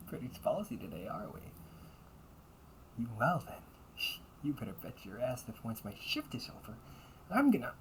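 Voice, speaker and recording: Performer acting a delinquent older brother as cafe butler, medium pitch, formal politeness, grudging edge, amateur audio roleplay, slight background noise. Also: big pitch swings, normal speed, long pauses, lightly acted, low-quality recording. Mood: muttered, threatening. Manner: stiffly formal service politeness, slipping into muttered threats.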